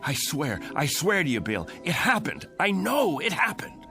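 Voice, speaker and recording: An actor playing an earnest, troubled Air Force colonel in a radio drama, confiding and emphatic. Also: male voice, a little high, wildly swooping pitch, a little slow, creaky, over music, exaggerated voice. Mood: urgent, pleading.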